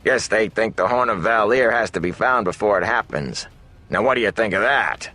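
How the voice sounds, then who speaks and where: A man voicing a chatty, excitable middle-aged innkeeper with folksy, gossipy talk in a fantasy audiobook. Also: fairly low pitch, normal speed, soft background music, pitched above the performer's own voice. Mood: excited, gossipy. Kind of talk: fantasy audiobook character dialogue.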